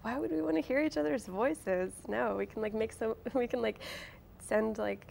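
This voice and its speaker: A woman's voice: a little high, moderate pace, vocal fry, darkish tone, slight background noise. A woman in her late twenties talking casually as an interview guest, wry, self-deprecating and chatty. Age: late 20s. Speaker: author and blogger guest.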